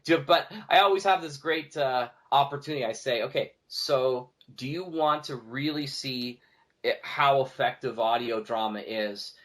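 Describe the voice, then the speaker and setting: A man with an average-pitched voice talks casually as a guest on a podcast. He is an animated storyteller who slips into quoted remarks as he goes. He sounds like a teacher explaining something he knows well. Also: normal speed. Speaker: podcast guest, teacher and audio drama producer. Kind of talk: casual conversational interview talk.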